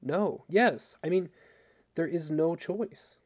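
Guy reading as heartbroken bitter man, steely and insistent, mellow gravelly voice, audiobook drama. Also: medium pitch, normal speed, natural voice. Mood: anguished, angry. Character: wounded, bitter man rejecting the woman he loves.

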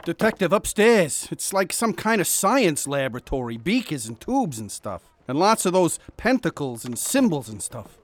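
Male actor as casual young-sounding cop, high voice, lively intonation, dry sound, radio drama. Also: moderate pace, hardly any pauses, no room sound. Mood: reporting, unfazed. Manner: casual, colloquial. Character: casual, eager rank-and-file policeman reporting in.

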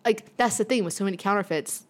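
A woman's voice: mid-range voice, quick pace. A young woman co-hosting a podcast from an office in her apartment, talking in a chatty, upbeat way full of "like.". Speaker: podcast co-host. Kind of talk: casual podcast chat.

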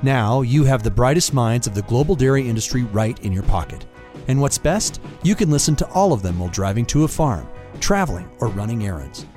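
A man reading a scripted podcast promo in a smooth, salesy announcer voice. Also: medium pitch, animated, brisk, warm tone, faint music behind. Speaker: show announcer.

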